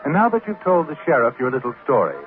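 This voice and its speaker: Sinister, knowing radio narrator. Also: male voice, a little high, sing-song, fast talker, narrow, tinny audio, over music. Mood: sinister, insinuating. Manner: insinuating, sardonic, second-person address. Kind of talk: 1940s radio thriller narration. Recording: thin old radio recording.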